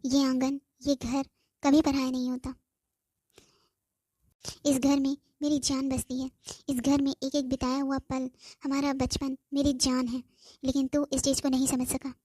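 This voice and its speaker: High-voiced, emotional young daughter played by a woman. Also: flat delivery, unhurried, mellow, no echo. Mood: earnest. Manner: emotional, reproachful, earnest. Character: devoted young daughter, pleading, sentimental about home. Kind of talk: amateur cartoon voice acting.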